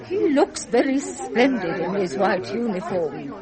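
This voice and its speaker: An actress playing an imperious, sentimental elderly queen with an upper-class English accent, stately and deliberate. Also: medium pitch, big pitch swings, a loud crowd. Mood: concerned, regal. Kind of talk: 1970s British period drama acting.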